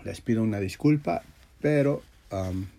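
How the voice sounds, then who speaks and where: A man, stuffed up with a sore throat, teaching and praying as a religious leader in an informal, earnest, halting way with frequent repetition. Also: fairly low pitch, mellow, slight background noise. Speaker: religious teacher leading an audio class. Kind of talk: informal sermon and prayer.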